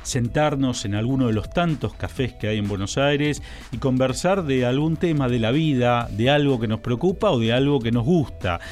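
An Argentine man presents a radio program at a studio microphone. His pitch sits in the middle range, and his delivery is formal and measured, like a seasoned announcer. Faint music plays quietly underneath. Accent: Argentine Spanish. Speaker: radio host. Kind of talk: radio talk show presenting.

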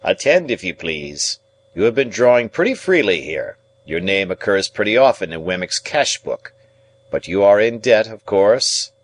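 A man performs a shrewd, domineering middle-aged lawyer from a storybook. His voice is low and he speaks deliberately, leaning on his words like a cross-examiner. He is pedantic and commanding. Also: big pitch swings. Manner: emphatic, interrogating, deliberate, pedantic. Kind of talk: audiobook character dialogue.

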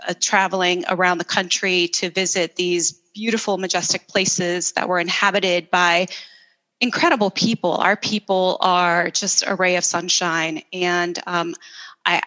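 Woman from el salvador chatting about her life, kinda low but bright voice, lots of ums. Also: poor audio. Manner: warm, enthusiastic storytelling with frequent restarts and "um"s. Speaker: interview guest, architect and designer. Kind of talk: conversational interview.

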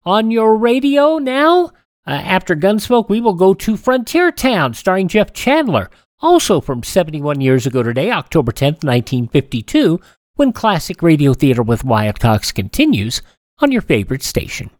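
Slightly high-voiced male radio host. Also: normal speed, no room sound, studio quality. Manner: steady newsreader delivery with small slips and self-corrections. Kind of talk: reading old newspaper headlines.

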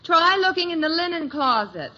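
A woman playing a busy, practical suburban mother in a 1950s radio sitcom, brisk and a little harried. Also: extremely high pitch, animated. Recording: muffled old radio recording, faint noise.

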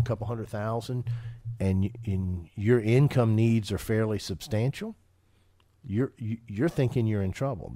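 A man's voice: fairly low pitch, darkish tone, dry recording. A man hosting a financial radio show, talking casually and often restarting his sentences with repeated words.

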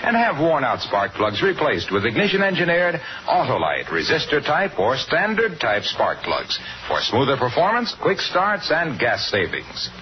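Animated American 1950s radio announcer man. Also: fairly high pitch, normal speed, few pauses, a little hiss or hum. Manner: polished, emphatic sales delivery. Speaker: commercial announcer. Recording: muffled old radio broadcast, music behind.

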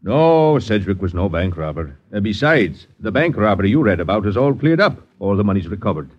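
Plain-spoken police sergeant, lowish pitch, lively inflection, questioning, 1950s radio play, dull sound. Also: male voice, brisk. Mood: chatty. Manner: chatty, questioning. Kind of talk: 1950s radio drama acting. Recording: dull vintage radio recording.

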